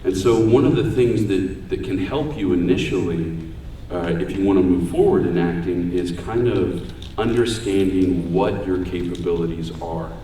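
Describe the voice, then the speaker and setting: A deep-voiced man talks to a convention crowd in an easy, joking way. His voice sits low, and it rings through a big echoey hall. He sounds set back from the microphone. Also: normal speed, warm tone, slight background noise. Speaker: voice actor giving a panel. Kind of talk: convention panel talk. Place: large convention hall room. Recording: echoey hall, voice far from mic.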